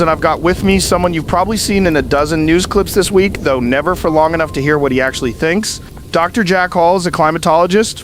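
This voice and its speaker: Actor as casual, higher-voiced male podcast host. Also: fairly bright tone, slight background noise, natural voice. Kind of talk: casual interview hosting.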